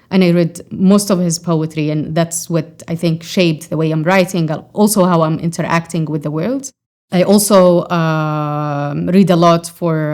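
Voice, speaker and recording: A woman's voice: sits low, darkish tone. Thoughtful woman with an Arabic accent. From Yemen. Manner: thoughtful, with frequent fillers and restarts. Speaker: poet and scholar. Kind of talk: reflective interview answers.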